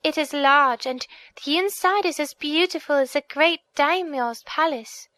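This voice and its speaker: A woman's voice: sits high, a little slow, bright, forceful tone, no room sound, voice pushed up. A woman voicing a young captive princess in a storybook, speaking formally and earnestly with sorrowful pleading. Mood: sorrowful, grave. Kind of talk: audiobook character voice.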